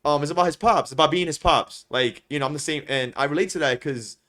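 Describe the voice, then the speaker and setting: Young male podcast host, quick rambling casual talker, slightly high voice. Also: bright, forceful tone. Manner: fast, rambling, repeats himself, lots of filler. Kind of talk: casual chat.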